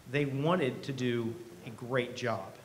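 Middle-aged male keynote speaker, mid-pitched, earnest and conversational, live podium sound with noticeable room noise. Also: fairly even intonation, slow. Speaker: company president, keynote speaker. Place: event hall stage. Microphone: podium microphone. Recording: live podium speech with clear background noise.

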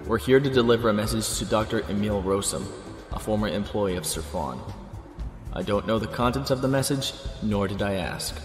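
A stern, cynical imperial officer, played by a man in a sci-fi audio drama. His lowish voice is formal and echoes over clear music. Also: natural voice. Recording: echoey long reverb, music behind.

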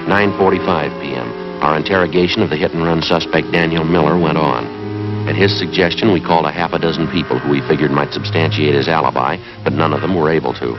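An extremely deep-voiced American police sergeant from a 1950s TV drama, terse and matter-of-fact. He is clipped and methodical. The old soundtrack is dull, with prominent music underneath. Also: fairly even intonation, fast talker. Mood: deadpan. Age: 30s. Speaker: lead actor in a police drama. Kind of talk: 1950s TV police drama acting and scripted narration.

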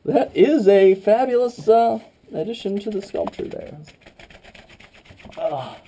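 A man's voice: sits high, lively intonation, slow pace, dark tone. A man chatting and joking as he films inside a cramped cave on a head-mounted camera. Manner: wry, joking, rambling. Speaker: cave explorer filming. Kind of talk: casual chat while caving. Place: tight underground cave.

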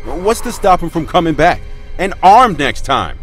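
An actor playing a hard-line, suspicious survivor in a video game, stern and adamant. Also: male voice, a little high, sing-song, moderate pace, soft background music. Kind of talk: dramatic video game acting.

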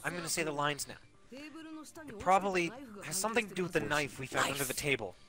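A man's voice: a little high, fast talker, sharp tone, soft background music. A man reading game dialogue in a jokey, loose amateur fan dub with friends.

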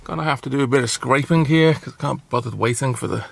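Gravelly-voiced man vlogging on the move. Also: mid-range voice, fast. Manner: chatty, rambling, self-deprecating. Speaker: travel vlogger. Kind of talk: casual handheld vlog narration. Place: apartment, stairwell and icy outdoor car park. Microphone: handheld camera mic.

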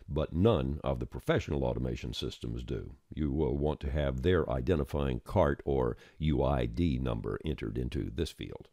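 A man narrating an instructional software screencast in a plain-spoken, explanatory manner. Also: very deep voice, no room sound, clean studio sound.

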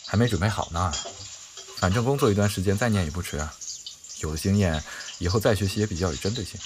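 A man's voice: low voice, fast, noisy background, lightly acted. A man playing a headstrong, protective young man in an audio drama, speaking bluntly and insistently. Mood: casual, stubborn. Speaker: voice actor in a fan audio drama. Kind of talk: Chinese audio drama acting.

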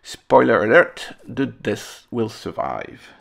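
A man's voice: fairly low pitch, big pitch swings, takes it slowly, creaky, fairly bright tone. A man hosting a hobby electronics video, narrating a demonstration casually with playful, wry humor. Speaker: host of electronics restoration video channel.

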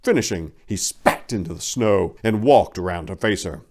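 A man's voice: low-pitched, animated, moderate pace. A man in his forties giving a theatrical, emphatic, mock-serious dramatic reading of a story. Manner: theatrical, emphatic, mock-serious with big swells and hushed drops. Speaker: reader. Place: small indoor room lined with bookshelves. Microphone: lapel microphone.

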